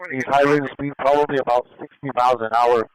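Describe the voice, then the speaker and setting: A man's voice: medium pitch, little pitch movement, fast, noisy background. A man giving matter-of-fact, clipped pursuit updates over police radio, like an air unit observer calling events live. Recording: police scanner radio transmission.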